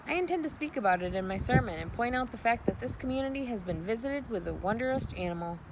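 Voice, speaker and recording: With clear noise behind, a woman plays a solemn country minister from a storybook. He makes earnest, measured declarations in a thoughtful way. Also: a little high, lively intonation.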